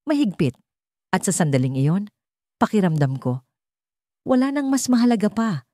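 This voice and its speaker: Low-pitched, mellow woman storyteller. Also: no echo, clean studio sound. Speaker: story narrator. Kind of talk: scripted first-person dramatic narration of a romance story.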